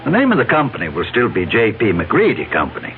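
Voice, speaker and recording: Commanding, fatherly older company boss, lively delivery. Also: mid-range voice, quick pace. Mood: proud, persuasive. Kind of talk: 1970s radio drama acting. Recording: tinny old radio recording, crowd behind.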